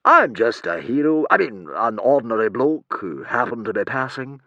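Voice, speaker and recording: An indignant storybook hero, played by a man, an ordinary bloke who sounds put-upon and exasperated. He protests breezily in a bright, forceful voice with animated pitch. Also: normal speed, no room sound, muffled recording. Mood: breezy.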